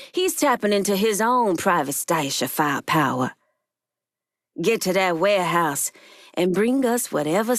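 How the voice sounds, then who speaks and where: A woman playing a commanding, wary female gang leader in a dramatic video game, speaking deliberately and sternly with folksy turns of phrase. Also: medium pitch, dry recording, studio quality, natural voice.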